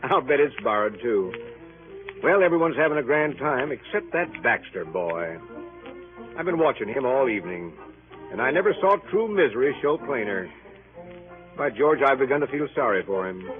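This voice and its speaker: A man's voice: medium pitch, sing-song, moderate pace, soft background music, close to an everyday voice. A man playing a genial middle-aged father, folksy and drily amused, in old radio comedy style. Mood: amused, wry. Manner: folksy, wry. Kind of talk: 1930s radio comedy acting. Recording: tinny old radio broadcast.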